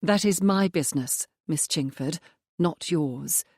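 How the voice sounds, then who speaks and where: A reserved young woman, firm and measured, speaking quietly in a low, steady voice, as the woman narrating gives her lines. The sound is dry and close. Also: breathy edge, dry recording, heavily acted. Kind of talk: audiobook dialogue.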